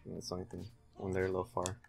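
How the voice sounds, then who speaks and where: A young man giving casual, halting, low-key commentary while concentrating on playing a video game. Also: monotone, gravelly, faint music behind. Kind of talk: casual let's-play commentary.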